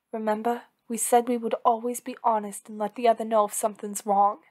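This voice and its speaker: A woman acts out a female villain in love, anxious, clingy and possessive. Her voice sits a little high. She talks one-on-one to her partner as in an ASMR audio drama, earnest and intimate, pleading and insisting with devotion. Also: flat delivery, moderate pace, darkish tone, natural voice. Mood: gentle, concerned. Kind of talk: ASMR roleplay acting, one-sided dialogue.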